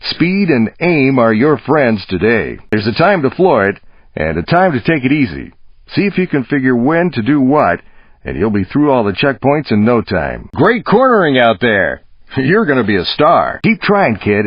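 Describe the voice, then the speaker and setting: A man's voice: medium pitch, husky, dry recording. A man playing a brash, encouraging Hollywood stunt coach in a video game, punchy and folksy. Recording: dull lo-fi game audio.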